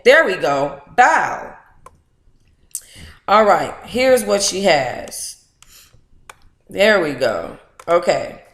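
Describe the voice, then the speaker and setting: A middle-aged woman hosting a book club livestream, chatty and candid, with colloquial asides as she talks and reads aloud. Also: medium pitch. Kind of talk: casual livestream chat with reading aloud. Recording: roomy, echoing room sound.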